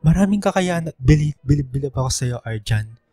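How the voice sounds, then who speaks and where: A mellow, caring young suitor. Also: male voice, on the high side, lively intonation, a little fast, breathy edge, no echo, clean studio sound. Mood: earnest, comforting. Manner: gentle, encouraging. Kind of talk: radio drama dialogue.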